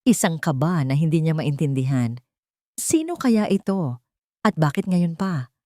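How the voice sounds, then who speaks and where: Low-pitched Filipino woman narrating, close and dry. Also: sing-song, a little slow, darkish tone, no echo. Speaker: audiobook narrator. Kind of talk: dramatic scripted story narration.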